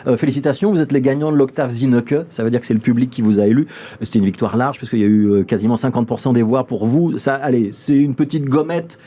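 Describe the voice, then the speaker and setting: Male TV host, warm low-key tone, casual and friendly, studio interview. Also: quick pace. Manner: relaxed, friendly, with frequent "euh" fillers. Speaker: TV news host.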